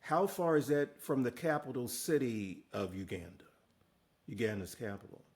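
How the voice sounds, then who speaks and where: A man's voice: mid-range voice, lively intonation, moderate pace, darkish tone. Upbeat male interview host. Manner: upbeat and encouraging, laughs often. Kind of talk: friendly interview hosting.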